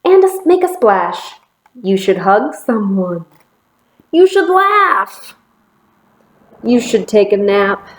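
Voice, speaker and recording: A woman voicing cheerful, pushy animal friends in a storybook, urging fun with drawn-out, sing-out emphasis. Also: medium pitch, very animated, reverberant. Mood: urging, upbeat.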